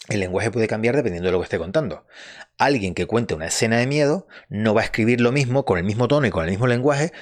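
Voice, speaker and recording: A man, a novelist giving casual advice on writing, talking in a rambling way with restarts and turning emphatic on key points. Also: lowish voice. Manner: conversational, rambling with restarts and fillers; emphatic and earnest when stressing a point.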